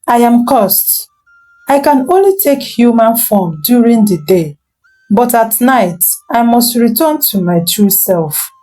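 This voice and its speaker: A cursed young bride from a storybook folktale, half woman and half serpent, as a woman storyteller gives her a voice. She speaks softly and pleads, slow and gentle. Her voice is a touch high and very lively in its rise and fall. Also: faint music behind, rough recording. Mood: pleading. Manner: soft, pleading.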